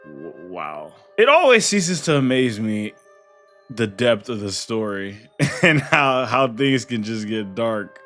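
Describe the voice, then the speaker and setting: Slow-paced young man reacting to a game. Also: fairly low pitch, very animated, a bit bright. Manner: slangy, exclamatory. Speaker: gaming streamer reacting to a story quest. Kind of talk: casual game reaction commentary. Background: faint game music.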